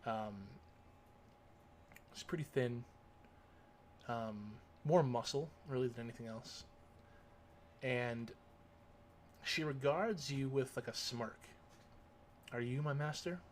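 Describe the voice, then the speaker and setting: Slow, halting game-master man. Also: mid-range voice, slight background noise. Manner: halting, filler-heavy. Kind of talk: narrating a tabletop game.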